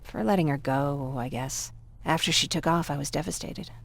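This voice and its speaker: An actress playing a guarded, protective young American big sister in a video game, speaking hesitantly and reflectively. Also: female voice, low-pitched, normal speed, vocal fry. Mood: wistful, reflective. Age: young adult. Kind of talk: naturalistic video game acting.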